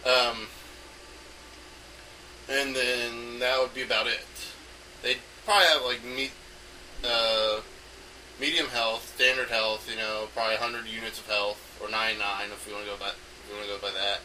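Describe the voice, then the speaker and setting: A man giving an unscripted, rambling explanation as an amateur game designer, halting often as he thinks aloud. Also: mid-range voice, level tone, pushed and bright. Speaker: amateur game designer recording an audio log. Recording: noisy home recording, distant from mic.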